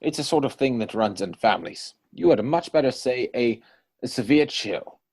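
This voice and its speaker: A man plays an idle, witty young gentleman in a Victorian comedy. His delivery is arch and mannered, swooping up and down around an average male pitch. He sounds teasing and flippant throughout. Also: lively intonation, normal speed, slightly rough. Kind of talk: stage comedy dramatic reading. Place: home, recorded over video call.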